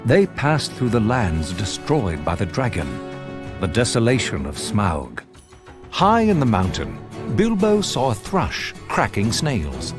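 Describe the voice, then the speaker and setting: A man narrating a fantasy video game as a solemn, measured storyteller. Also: lowish voice, wildly swooping pitch, over music, heavily acted. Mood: solemn storytelling.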